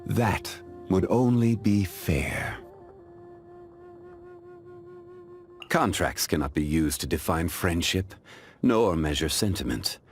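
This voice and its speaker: A male actor playing a calm, dignified, ancient immortal man in a video game, speaking in a measured, formal, refined way. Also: deep voice, faint music behind. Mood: courteous. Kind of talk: scripted video game character voice lines.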